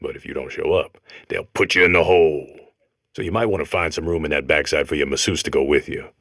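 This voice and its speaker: A man voicing a weary, earnest robot character in a comedic game, with a low, raspy voice. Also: steady tone, fast talker, a bit bright, no room sound, studio quality, full character voice. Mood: jaded, conspiratorial. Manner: world-weary, earnest monologuing.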